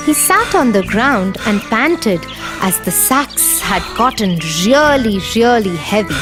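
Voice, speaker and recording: A storyteller narrating a children's tale in an expressive, deliberate way, with music behind. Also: medium pitch.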